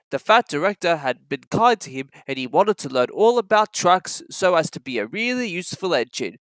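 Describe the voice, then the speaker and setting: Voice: medium pitch, fairly bright tone, dry recording, poor audio. A narrator reading a children's railway storybook aloud in a scripted, storytelling style.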